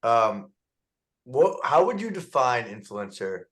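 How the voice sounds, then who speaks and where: A man hosting a podcast, asking casual, halting questions in an easygoing way. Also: medium pitch, sing-song. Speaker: podcast host. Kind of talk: casual interview chat.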